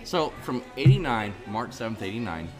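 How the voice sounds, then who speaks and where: A man's voice: lowish voice, animated, normal speed, music in the background. A man in his thirties from Central California, a podcast guest talking casually and rambling from one point to the next. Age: 30s. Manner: rambling, discursive. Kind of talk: casual chat. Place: bar.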